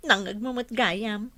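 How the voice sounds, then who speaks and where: A woman's voice: medium pitch, fairly bright tone, no echo. A woman with an Ilocano accent playing a bashful, teasing office clerk in a radio comedy drama.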